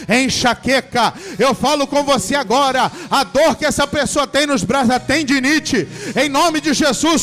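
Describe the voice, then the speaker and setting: A man's voice: very high voice, fairly bright tone. A man, a pastor, leading a church in a fervent healing prayer and commanding sickness to leave. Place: church service.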